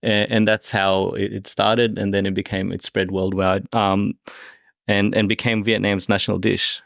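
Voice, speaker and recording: Australian man with a gravelly edge, chatting casually. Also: on the low side, little pitch movement, normal speed, a bit bright, no echo, clean studio sound. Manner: explanatory, conversational. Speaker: podcast co-host. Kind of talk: casual food and wine chat.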